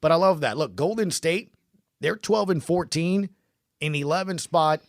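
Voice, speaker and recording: A man with a slightly high voice, recorded close and dry. He hosts a casual sports show, hammering points home in short, emphatic phrases and repeated words. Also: animated, moderate pace, vocal fry, no room sound. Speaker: sports podcast host.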